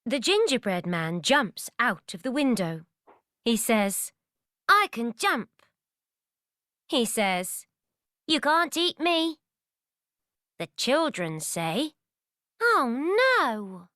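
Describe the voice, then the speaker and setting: Slow, lively woman reading a children's story. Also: on the high side, dry recording, studio quality. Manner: deliberate, simple phrasing for beginners. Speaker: audiobook narrator for young learners. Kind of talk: scripted children's story narration.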